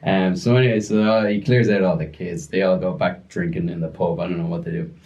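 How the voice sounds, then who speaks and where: A young man telling a story casually on a podcast, animated and breaking into voices as he retells it. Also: sits low, quick pace, muffled recording. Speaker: podcast guest.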